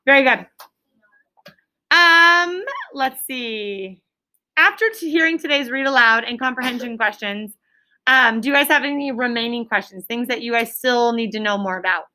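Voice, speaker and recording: A woman's voice: on the high side, moderate pace, pushed and bright. A woman elementary school teacher leading an interactive lesson, asking questions and repeating answers with emphasis in an instructive way.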